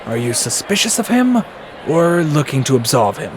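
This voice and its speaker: A man's voice: a little high, big pitch swings, moderate pace, a little hiss or hum. A man acting a shrewd, cynical ninja commander in an anime-style audio drama, speaking bluntly and matter-of-factly. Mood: wry, shrewd. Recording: music behind the voice.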